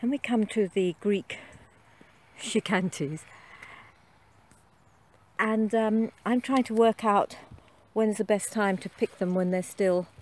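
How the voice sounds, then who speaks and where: A woman's voice: mid-range voice, slow, long pauses, a little mellow. A middle-aged woman chatting casually about her garden while filming outdoors on a phone, with frequent ums. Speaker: gardening vlogger. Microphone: handheld phone camera.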